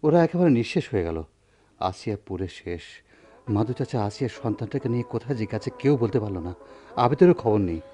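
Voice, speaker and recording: An actor playing an idealistic, educated village doctor, formal and earnest, in a radio drama. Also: male voice, fairly low pitch, expressive, fast talker, mellow, natural voice. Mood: grave, subdued.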